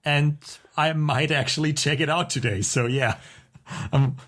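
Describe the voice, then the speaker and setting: A man's voice: on the high side, fairly even intonation, a little slow, a bit bright. A man co-hosting a podcast, talking in a casual conversational style. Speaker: podcast co-host.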